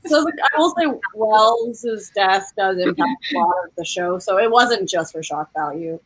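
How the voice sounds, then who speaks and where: A woman's voice: mid-range voice, lively intonation, normal speed, rough recording. A woman in a casual group chat about books, explaining her opinions in a chatty way. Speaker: book discussion participant.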